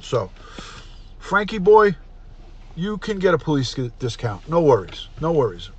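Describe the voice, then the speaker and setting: A middle-aged man hosting a casual livestream, chatty and repetitive, often clearing his throat. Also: on the high side, very animated, measured pace, lo-fi sound. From New York. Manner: chatty, repeats himself, frequent throat clearing. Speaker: livestream host, retired detective. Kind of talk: casual livestream chat, reading comments aloud.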